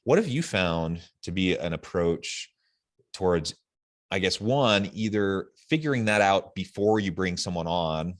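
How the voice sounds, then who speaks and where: Man, deep low pitch, podcast host, conversational, wordy, builds questions while thinking aloud. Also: expressive, measured pace. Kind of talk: conversational interview.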